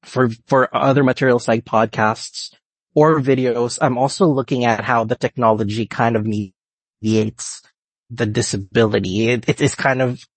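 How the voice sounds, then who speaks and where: A man in his late thirties with a Filipino accent, answering interview questions in an earnest, halting way. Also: mid-range voice, no echo. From Philippines. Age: late 30s. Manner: earnest, halting, restarts often. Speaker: guest researcher.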